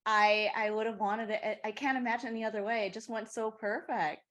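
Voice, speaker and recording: A woman from Los Angeles, hosting an interview in a soft, encouraging way with quiet affirmations. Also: a little high, fairly even intonation, brisk. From Los Angeles, USA. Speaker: podcast host. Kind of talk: casual interview.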